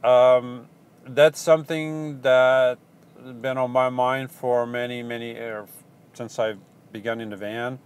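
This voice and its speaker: A man with a Portuguese accent and an ordinary mid-range voice chats loosely as a van-life vlogger. He answers viewer questions in a halting, rambling way, stopping often for ums and starting his sentences over. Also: medium pitch, little pitch movement, clean tone. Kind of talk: casual live Q&A chat.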